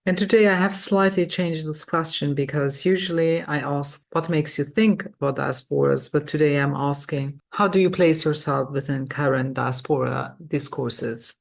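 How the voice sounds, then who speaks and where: Woman host, measured delivery, gravelly fry in the voice. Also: low-pitched, steady tone. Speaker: podcast host. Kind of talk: scripted intro then conversational hosting.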